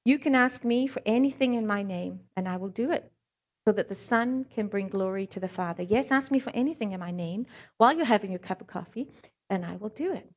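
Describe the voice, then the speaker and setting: A middle-aged woman preaches in a relaxed, chatty way, her light Scottish and South African accent showing in a few words. She mixes scripture with wry stories and plenty of ums, her pitch sitting mid-range for a woman, heard on a slightly dull, muffled recording. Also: brisk. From born in Scotland, raised in South Africa. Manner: informal, frequent ums, wry humor. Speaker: lay preacher, hand therapist. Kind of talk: conversational sermon with anecdotes.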